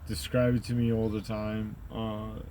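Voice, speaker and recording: A man with a deep, rumbly voice who rambles through a profane, conspiratorial monologue and keeps tagging his points with "right?". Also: completely flat delivery, a little slow, dark tone, a little hiss or hum. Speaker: channel host.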